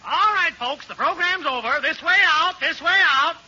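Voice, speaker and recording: A man playing a loud, joking theater usher in a 1940s radio comedy skit. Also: very high voice, moderate pace, muffled recording, exaggerated voice. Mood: brash, joking. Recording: old band-limited radio, noisy, crowd behind.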